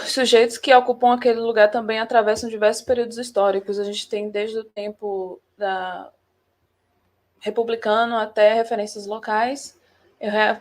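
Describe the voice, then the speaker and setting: A woman from Salvador presenting her research paper at a remote academic conference, walking through her slides informally and correcting herself as she goes. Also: mid-range voice. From Salvador, Bahia, Brazil. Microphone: computer mic for a remote talk.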